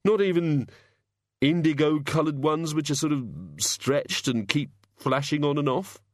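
A queasy, pitiful hangover god, voiced by a man groans his way through slow, halting sentences. The voice sits higher than the reader's own and drags on the words, and it is recorded dry and close. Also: steady tone, no echo, natural voice.

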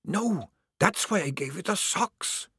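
A man voicing an unseen, conspiratorial stranger in an audiobook, giving patient, wry advice in a hoarse, hushed whisper. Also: sits high, wildly swooping pitch, extremely slow, no echo, clean studio sound, voice pushed up, exaggerated voice. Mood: wry advising. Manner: patient, hushed, matter-of-fact. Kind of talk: audiobook character voice.

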